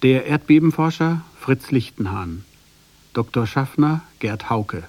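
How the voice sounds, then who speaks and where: A man's voice: mid-range voice, expressive, a little slow, a little mellow, a little hiss or hum. A man announcing the title and cast of a radio drama in a scripted, formal style.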